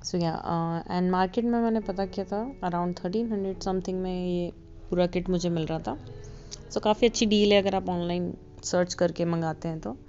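Mellow woman narrating a nail tutorial. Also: lowish voice, moderate pace. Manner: relaxed, mixes English phrases into speech. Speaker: beauty vlogger. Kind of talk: casual tutorial voice-over. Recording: dull lo-fi voice-over with faint music.

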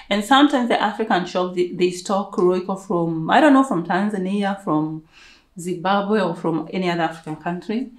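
Gushing young Kenyan woman in an echoey room. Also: medium pitch. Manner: playful, gushing, self-hyping. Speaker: vlogger. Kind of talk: casual chat to camera. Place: living room indoors. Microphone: camera mic at a distance.

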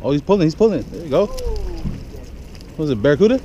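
A man's voice: on the high side, sing-song, fast talker, mellow, muffled recording. A man casually narrating his fishing from a rocky harbor shore, muttering to himself as he reels in fish, recorded on a head-mounted camera. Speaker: fishing vlogger. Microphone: head-mounted action camera. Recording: outdoor wind and water noise.

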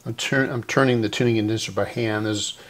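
A man's voice: fairly low pitch, steady tone, fairly quick. An older man talking casually and unscripted, thinking aloud as he works through a hands-on repair. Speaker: host repairing vintage radios. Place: indoor electronics workbench. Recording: noisy workshop, clicking sounds.

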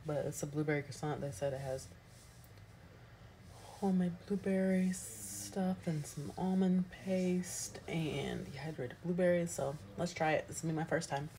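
A woman's voice: low voice, moderate pace, warm tone, a little hiss or hum. A woman in her thirties filming a casual food review vlog on a phone at arm's length at home, chatting and describing each bite between mouthfuls. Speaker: food vlogger. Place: indoors at home, small room. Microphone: phone held at arm's length.

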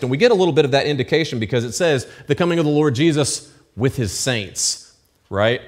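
Man, 30s, pastor. Conversational sermon from the pulpit, with asides and check-ins to the room. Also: mid-range voice. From United States. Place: church stage with pulpit, indoors. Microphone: lectern mic at pulpit.

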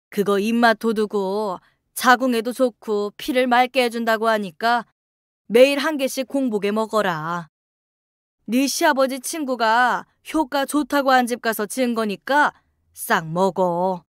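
Woman acting a stern, slow-speaking older mother-in-law. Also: a little high, smooth, no room sound, clean studio sound, natural voice.

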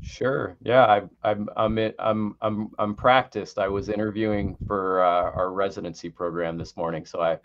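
A man's voice: fairly low pitch, steady tone, normal speed, hardly any pauses. A middle-aged man from Connecticut, a guest pathologist on a video call, talking in a rambling way with many restarts. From Connecticut, USA. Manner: rambling, frequent restarts and repeated words. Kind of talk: conversational interview on a video call. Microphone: headset mic on a video call. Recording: slightly dull video-call audio.

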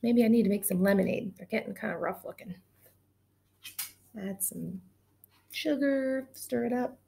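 Teacher, woman in her thirties, slightly higher voice, animated storytime delivery for kids, webcam sound. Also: moderate pace, vocal fry, a little hiss or hum. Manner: animated, gushing, talks directly to children. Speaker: teacher reading aloud. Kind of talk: children's read-aloud. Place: small indoor room at home. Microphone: webcam at desk distance.

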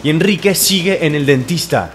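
Expressive Mexican man, reality show host. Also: fairly high pitch, normal speed, background noise. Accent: Mexican Spanish. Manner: expressive, exasperated, teasing. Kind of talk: confessional reality-show talk. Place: indoor apartment at night. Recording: background music under voice.

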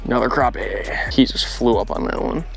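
Excitable young male vlogger on a boat, casual and profane. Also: mid-range voice, moderate pace, creaky, soft background music. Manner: excitable, swears, laughs often. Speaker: fishing vlogger. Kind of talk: excited fishing vlog commentary. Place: outdoors on a boat.

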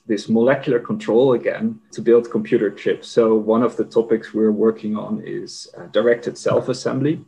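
A man's voice: mid-range voice, a little mellow. A man with a Dutch accent, a company managing director talking casually as an interview guest, explaining with many fillers. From Netherlands.

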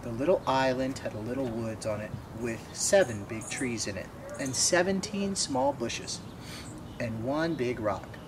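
A man in his twenties reads a children's picture book aloud with a mid-pitched voice. He is recorded outdoors from a short distance, with clear background noise like distant traffic under his reading. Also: lively intonation, a little slow. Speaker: storybook reader. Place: outdoors by a wooden fence.

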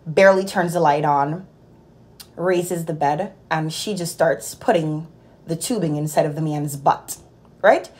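A woman's voice: low voice, measured pace. A young woman in her twenties from Jamaica, a nurse telling a work story in a casual vlog, recorded on a phone held at arm's length in a small room at home. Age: 20s. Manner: animated, exasperated storytelling. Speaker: nurse vlogger.

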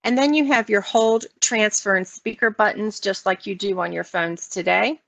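A woman's voice: mid-range voice, normal speed, creaky, dry recording. An American woman working as a trainer, explaining things step by step in an instructional walkthrough. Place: online meeting screen-share, voice only. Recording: dull lo-fi online meeting audio.

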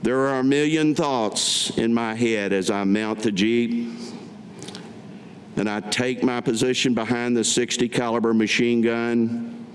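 An older man from Kentucky giving a staged reading of his own memoir writing, in measured, deliberate phrases. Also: medium pitch, fairly even intonation. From Kentucky, United States. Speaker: veteran reading his own written piece. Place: public meeting room, at a podium. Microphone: podium gooseneck microphone. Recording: echoey hall with background noise.

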